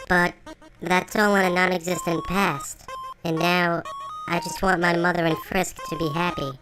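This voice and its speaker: A woman voicing an earnest young flower creature in a comic dub, measured and slightly exasperated. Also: fairly low pitch, level tone, lightly acted, rough recording. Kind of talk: fan comic dub acting. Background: faint music.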